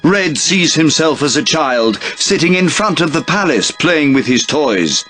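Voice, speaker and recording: A man's voice: fairly high pitch, very animated, unhurried, bright, forceful tone. A man reading scripted, dramatic narration for a game cutscene. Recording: music behind the voice.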